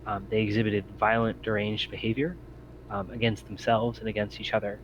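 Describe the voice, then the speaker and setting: Man playing an evasive android, muffled and low. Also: little pitch movement, lightly acted. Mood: impatient, formal. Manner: formal, measured, hesitant phrasing. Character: evasive, impatient male android aboard a derelict spaceship. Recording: muffled lo-fi mic with faint noise.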